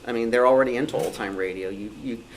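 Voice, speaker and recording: A man's voice: mid-range voice, fast talker, slight background noise, low-quality recording. A man talking informally and answering questions as a speaker at a club meeting. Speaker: club meeting speaker, author and convention organizer.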